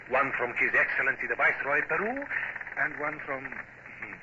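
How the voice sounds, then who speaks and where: An actor playing a courteous, earnest Spanish naval officer with a Spanish accent, emphatic and ceremonious, in an old radio drama. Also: male voice, mid-range voice, normal speed, close to an everyday voice. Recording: dull, hissy old radio recording.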